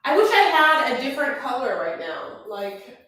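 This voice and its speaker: A woman's voice: high-pitched, very animated, normal speed, a bit bright. A woman hosting a casual live stream about reality TV, chatting in a rambling way with many fillers and restarts. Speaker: reality TV recap livestream host. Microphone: webcam or phone on a live stream. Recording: echoey room.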